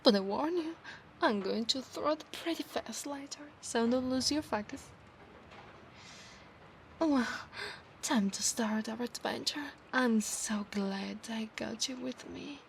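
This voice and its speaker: A woman with an Italian accent voicing an affectionate, possessive centaur knight in a soft ASMR roleplay, often slipping into breathy whispers. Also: a little high, slow, a little hiss or hum. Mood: fond, eager. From Italy.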